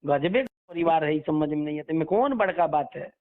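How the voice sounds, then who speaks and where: A high-voiced man with a Bhojpuri accent gives his opinions over a tinny phone line. He rants in a blunt, scornful way, like someone airing grievances in conversation. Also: animated, moderate pace. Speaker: phone interview guest.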